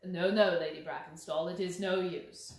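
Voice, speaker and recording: A woman voicing a gentleman detective who is calm, courteous and firm, with a measured, grave and gently insistent manner. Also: lowish voice, pitched below the performer's own voice, natural voice. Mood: stern. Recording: very echoey room.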